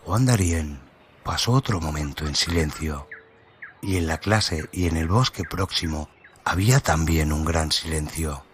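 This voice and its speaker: A male narrator with a very low voice tells a literary tale dramatically. He takes his time with each phrase, and ambient noise sits clearly behind him. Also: measured pace.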